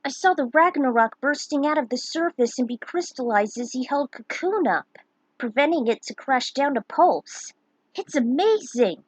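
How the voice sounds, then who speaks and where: A woman's voice: sits high, lively intonation, normal speed, dry recording, pitched above the performer's own voice, poor audio. A woman voicing an earnest young adventurer, a friend of the heroine, in a story reading. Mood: amazed.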